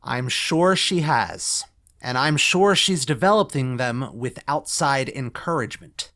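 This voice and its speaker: A man playing a principled, quietly firm community banker in a musical table read, speaking earnestly. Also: medium pitch, lively intonation, measured pace.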